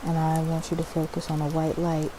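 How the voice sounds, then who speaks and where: A woman's voice: low-pitched, monotone, fairly quick, background noise. A woman hosting ASMR as a spiritual cleansing guide, speaking in a soothing, instructive way and often checking in with the listener. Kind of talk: ASMR personal-attention roleplay.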